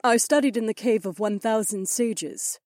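A woman playing a soft-spoken, steady young princess and priestess in an audiobook drama. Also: on the high side, normal speed, no room sound, studio quality, close to an everyday voice. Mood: respectful.

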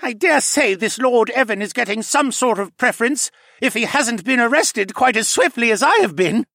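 A man's voice: very high-pitched, animated, normal speed, vocal fry, bright, forceful tone, no echo, studio quality, voice pushed up, heavily acted. A man voicing an angry, defiant musk ox demi-human in a fantasy audiobook, spitting out accusations. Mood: sneering.

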